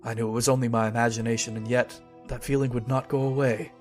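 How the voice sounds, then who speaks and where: Radio horror drama lead: male actor as skeptical, haunted storyteller, medium pitch, faint music underneath. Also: little pitch movement, quick pace, lightly acted. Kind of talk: old-time radio horror narration.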